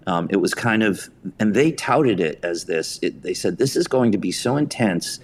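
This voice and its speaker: A man's voice: lowish voice, lively intonation, moderate pace. An American man in his fifties telling personal anecdotes in an interview, with a self-deprecating, storytelling manner. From suburban New York, United States. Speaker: podcast guest, theater writer and director.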